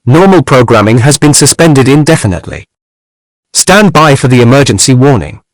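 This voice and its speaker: A man's voice: mid-range voice, big pitch swings, moderate pace, dry recording. A man reading a formal scripted emergency warning announcement.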